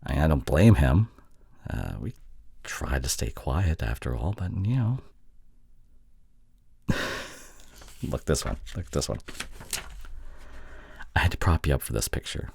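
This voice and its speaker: A young man playing a sheepish, affectionate boyfriend in an intimate audio roleplay, soft and halting, with breathy drops toward a whisper. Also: a bass voice, very animated, heavily acted. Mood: playful, fond. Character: sheepish, affectionate young boyfriend apologizing to his long-distance partner.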